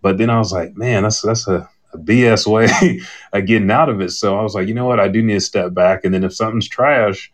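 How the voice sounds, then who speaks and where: A man's voice: lowish voice, a little fast. A man co-hosting a podcast, chatting casually and candidly in a rambling way, often retelling conversations as dialogue. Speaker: podcast host. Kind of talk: casual chat on a live podcast panel.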